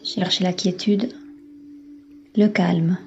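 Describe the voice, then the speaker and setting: A woman yoga instructor leading a guided relaxation in a calm, soothing, unhurried manner with long pauses. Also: lowish voice, highs cut off, soft background music. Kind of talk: guided meditation, yoga nidra.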